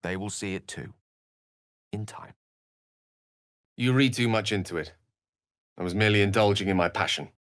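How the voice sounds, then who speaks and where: An actor playing a bookish, witty and earnest human wizard in a video game, with erudite, theatrical phrasing and a touch of melancholy. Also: male voice, on the low side, normal speed, pauses often, a bit bright, no room sound, studio quality, natural voice. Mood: thoughtful, earnest. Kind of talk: video game character voice lines.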